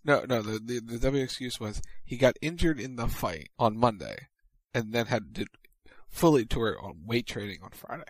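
A man's voice: mid-range voice, animated, moderate pace, no room sound. A man co-hosting a casual wrestling talk radio show, dry and matter-of-fact as he reads listener posts. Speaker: podcast co-host.

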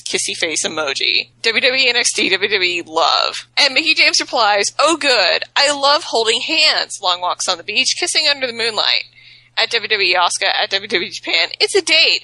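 A woman co-hosting a casual talk show, reading tweets aloud with playful, animated emphasis. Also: mid-range voice, normal speed, dry recording, poor audio. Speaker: podcast co-host.

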